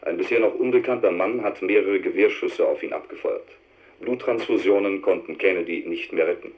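Low male 1960s newsreader, formal scripted delivery, dull old-radio sound. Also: fairly quick. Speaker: news announcer. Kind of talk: 1960s German radio news reading.

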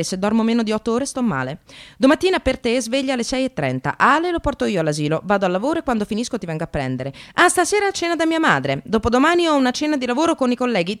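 An actress voicing a fussy, controlling husband who talks in brisk, regimented lists, in a radio monologue. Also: female voice, fairly high pitch, big pitch swings, pitched above the performer's own voice. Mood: officious, rushed. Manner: brisk, regimented, clock-watching. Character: fussy, controlling ex-husband rattling off rigid schedules.

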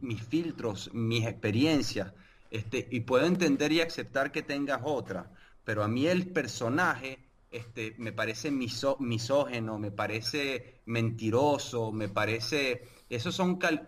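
A man whose first language is Italian, debating casually with many pauses, fillers and restarts. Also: medium pitch, fairly bright tone.

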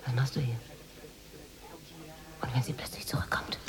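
An actress playing a secretive, possessive housemaid in a radio drama, speaking in a guarded, quietly insistent way. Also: female voice, extremely low pitch, steady tone, moderate pace, whispered. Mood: guarded, wary. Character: secretive, possessive Moroccan housemaid, obsessed with her mistress.

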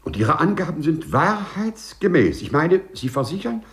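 An actor in a 1950s German radio play, playing a polite, eccentric prisoner who speaks formally and precisely, serenely proud and rapt. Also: male voice, fairly high pitch, sing-song, moderate pace. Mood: courteous, earnest. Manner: courteous, formal, precise, rapturous. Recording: 1950s radio drama recording.

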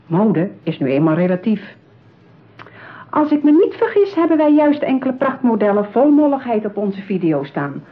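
An actress playing a smooth, patronising saleswoman in a radio play, with polished, persuasive patter. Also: fairly high pitch, sing-song, normal speed. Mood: reassuring, persuasive. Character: smooth, patronising, eager saleswoman at a matchmaking agency. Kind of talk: radio drama acting. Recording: tinny, narrow-band old radio play.

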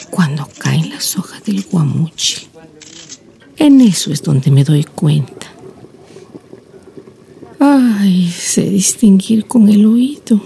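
An actress playing a lonely, wistful old blind village grandmother in a radio drama monologue, breathy and creaky, reflecting with sighs and long pauses. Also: medium pitch, warm tone, a little hiss or hum. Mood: quietly proud, musing. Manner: slow reflective storytelling, sighing interjections, long pauses.

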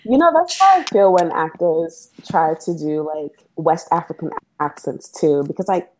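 A young woman with a slightly low voice muses through a TV episode in lively, casual talk. She pieces her thoughts together aloud, leaning on "like" between phrases. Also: normal speed, a little hiss or hum, rough recording. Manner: animated, thinking aloud, frequent "like" fillers. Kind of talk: casual chat about a TV episode.

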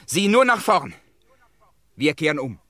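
An actor playing an earnest, middle-aged film star hero in a 1950s German radio drama. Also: male voice, a little high, big pitch swings, unhurried, heavy background noise. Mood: urgent, commanding.

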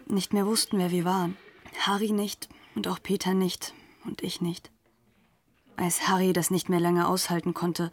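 A woman's voice: fairly low pitch, breathy edge. A woman narrating a radio drama in a scripted, dramatic storytelling style. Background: music.

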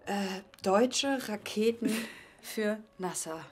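An actress playing an earnest, questioning young woman in a naturalistic radio drama, intimate and often hushed. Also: mid-range voice, slow, husky. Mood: reading aloud.

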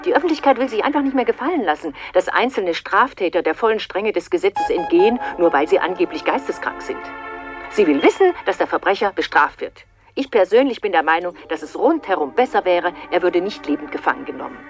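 Casting: commanding, stern state secretary in radio drama, lowish voice, clipped authority, music behind. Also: female voice, lively intonation, fairly quick. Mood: stern, impatient. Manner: curt, commanding, cutting. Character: imperious, hard-line female government minister. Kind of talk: radio play acting. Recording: music under the voice.

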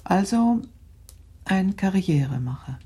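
An actress playing a shrewd, worried middle-aged aristocratic mother in a radio play, talking in a measured, confiding way that sometimes sinks to a whisper. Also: mid-range voice, expressive, mellow, husky. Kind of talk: 1960s German radio drama acting.

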